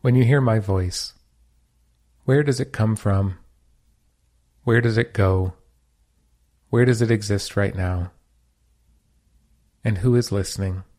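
A man leading a guided meditation as a teacher, giving calm, measured, gentle instructions. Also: on the low side.

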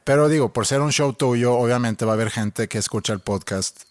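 A man's voice: mid-range voice, brisk, no room sound, clean studio sound. A man with a slight Monterrey accent, chatting hesitantly on a podcast and often repeating words. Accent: Monterrey Spanish with a foreign touch. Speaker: podcast co-host. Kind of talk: casual podcast chat.

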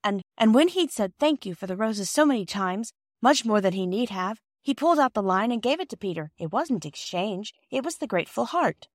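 A woman's voice: mid-range voice, lively intonation, brisk, few pauses, no room sound, studio quality, voice pushed up, natural voice. A woman voicing a chatty, inquisitive little sister in a storybook, eager to explain things. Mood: hasty, earnest.

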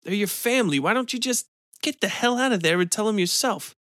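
A man's voice: high voice, animated, a little fast, fairly bright tone, no room sound, studio quality, voice pushed up. A man doing a curt, uneasy bar patron. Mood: irritated. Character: irritable, awkward young man in a bar.

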